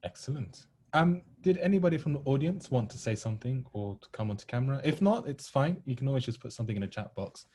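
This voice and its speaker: A man's voice: mid-range voice, animated, quick pace, few pauses, dark tone, slightly rough. A man hosting an online event informally, speaking politely and reassuringly, with frequent hesitant ums and uhs. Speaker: host of an online play table read. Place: online video call.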